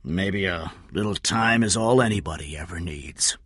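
A man reading a story and voicing a weary, hardened criminal who talks low and quiet. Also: animated, unhurried, breathy edge, dry recording, clean studio sound, full character voice. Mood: sad.

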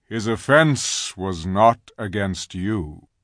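A narrator as a slow, grave lion king. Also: expressive, no echo, clean studio sound. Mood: grave, measured. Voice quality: low growl. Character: grave majestic male lion king, calm and stern.

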